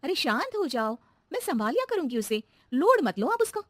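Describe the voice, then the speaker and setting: A woman voicing a sensible, wryly teasing housewife with an expressive delivery in a comedy cartoon. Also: sits high, brisk, no echo, studio quality. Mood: soothing, amused. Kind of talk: comic Hindi cartoon acting.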